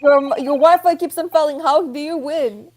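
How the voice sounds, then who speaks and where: High-voiced Israeli woman, teasing. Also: normal speed. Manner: teasing, joking. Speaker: game player. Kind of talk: casual let's-play chat.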